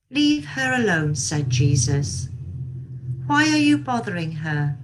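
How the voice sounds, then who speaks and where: Slow-paced woman reading scripture. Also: on the low side. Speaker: scripture reader. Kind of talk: Bible reading, scripted narration. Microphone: video call.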